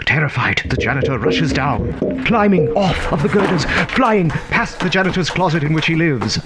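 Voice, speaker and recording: An actor as a whimsical storyteller narrating an audio drama in a dramatic, theatrical manner. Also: male voice, fairly high pitch, animated, moderate pace, over music, close to an everyday voice. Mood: urgent, alarmed. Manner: dramatic, swooping from hushed to urgent.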